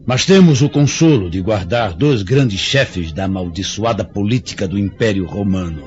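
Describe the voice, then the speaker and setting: Man playing vengeful ancient rebel chief, Brazilian accent, low voice, sweeping intonation, grandiloquent oratory, radio drama, dull lo-fi sound. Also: expressive, moderate pace, lightly acted. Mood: bitter, solemn. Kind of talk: religious radio drama acting. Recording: dull lo-fi audio with faint music behind.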